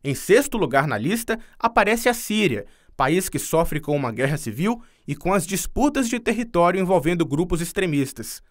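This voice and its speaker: Brief: male TV reporter, high and animated voice, scripted narration. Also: normal speed, few pauses. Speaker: TV news reporter.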